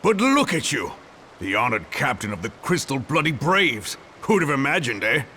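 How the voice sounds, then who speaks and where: An actor playing a gruff older male ex-gladiator turned politician in a game cutscene, hearty and bluff in manner. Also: medium pitch, sing-song, normal speed, sharp tone, a little hiss or hum. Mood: jovial. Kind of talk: video game cutscene voice acting.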